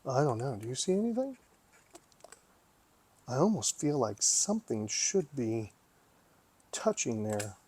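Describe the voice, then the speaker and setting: A man's voice: medium pitch, big pitch swings, measured pace, long pauses, darkish tone, husky. A man giving casual hands-on commentary as he tinkers, thinking aloud and often asking himself questions. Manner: musing, talks to himself, asks himself questions. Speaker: vlogger, hobby repair host.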